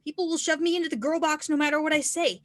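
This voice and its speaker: A woman plays an encouraging, self-reliant non-binary teen snowboarder. The voice is high and closely recorded with a dry sound, reading dialogue from a film script. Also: fairly even intonation, fast, a bit bright, dry recording. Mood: earnest, encouraging. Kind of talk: screenplay table read.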